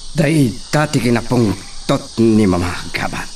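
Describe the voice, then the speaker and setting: A man's voice: mid-range voice, animated, measured pace, darkish tone. A man with an Ilocano accent acting as a commanding young estate master in a radio drama. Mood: stern. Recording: distant mic, faint insect noise.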